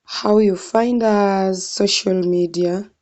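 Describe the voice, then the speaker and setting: A woman's voice: medium pitch, fairly even intonation, slow pace, darkish tone. A young Kenyan woman walking viewers through an online sign-up form, thinking aloud and calling them guys. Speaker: tutorial vlogger. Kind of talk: screen-recorded walkthrough.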